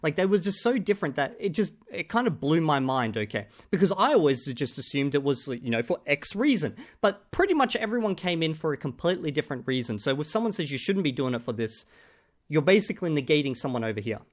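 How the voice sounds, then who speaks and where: A man reacting to another creator's video in casual, argumentative commentary, often pausing on "okay?" to drive his points home. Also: on the high side, big pitch swings.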